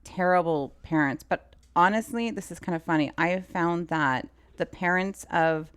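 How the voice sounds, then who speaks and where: A woman's voice: on the low side, moderate pace, smooth. A woman guest in a casual interview, telling anecdotes with acted-out dialogue and lots of "like". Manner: animated storyteller, quoting dialogue, frequent "like". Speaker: interview guest, film assistant director.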